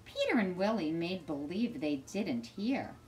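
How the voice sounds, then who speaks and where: A middle-aged woman gives an expressive storybook read-aloud for children. She takes her time, with lively, varied pitch, and soft music plays faintly behind her. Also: a little slow. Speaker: teacher reading aloud to children.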